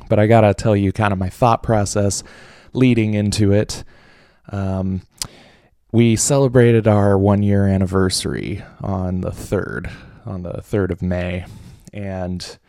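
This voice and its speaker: A man's voice: sits low, mellow, no room sound, studio quality. A man in his late twenties hosting a casual solo podcast, rambling with lots of fillers and restarts, jittery from coffee. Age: late 20s. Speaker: podcast host.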